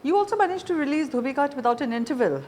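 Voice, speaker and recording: A woman's voice: high-pitched, animated, very fast, darkish tone, slight background noise. A woman hosting a conversational interview. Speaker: interview show host.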